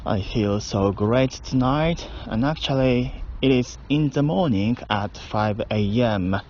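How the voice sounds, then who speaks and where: Slow, tipsy Japanese-accented man. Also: medium pitch, darkish tone, slight background noise. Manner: playful, chuckling, slow and deliberate. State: drunk. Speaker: language learner. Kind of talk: casual voice diary monologue. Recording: dull lo-fi recording.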